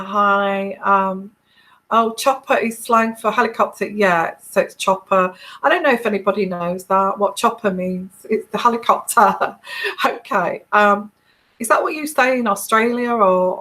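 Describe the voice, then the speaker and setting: A woman's voice: mid-range voice, a little slow, pushed and bright. A middle-aged English woman chatting casually with viewers on a live tarot stream, rambling with many fillers and restarts and laughing often. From England.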